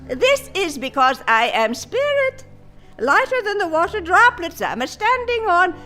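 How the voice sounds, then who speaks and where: A woman's voice: very high voice, very animated, measured pace, pushed and bright, soft background music, exaggerated voice. A woman playing the joyful spirit of an old Italian grandmother with an Italian accent, sing-song and exclaiming, in a comic audio play. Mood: joyful, beckoning.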